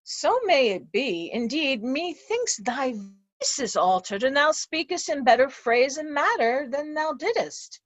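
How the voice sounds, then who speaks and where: A woman's voice: sits high, animated, measured pace, dry recording. A woman playing a blind, despairing old nobleman in an amateur Shakespeare reading, weary and solemn. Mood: doubtful, weary. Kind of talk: amateur Shakespeare reader's theatre.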